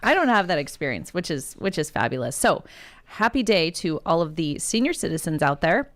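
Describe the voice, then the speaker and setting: A woman's voice: fairly low pitch, sing-song, a little fast. A woman co-hosting a morning radio show, chatting casually, laughing often and reading out the day's national days in a studio. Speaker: radio co-host.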